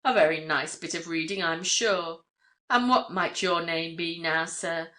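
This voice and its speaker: Woman playing genial rustic English farmer, low, bright. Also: a little slow. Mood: genial, shy. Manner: rambling, deferential, folksy. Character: shy, genial middle-aged countryman farmer.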